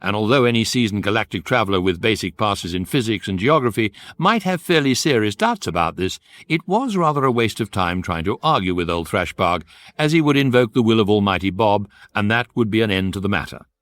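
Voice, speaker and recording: A man's voice: fairly low pitch, animated, brisk, fairly bright tone, no echo. A man giving wry, urbane, droll scripted narration for a radio comedy.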